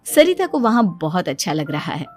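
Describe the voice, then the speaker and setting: A woman giving scripted narration for a Hindi cartoon story in an even storytelling delivery. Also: fairly low pitch, moderate pace, soft background music.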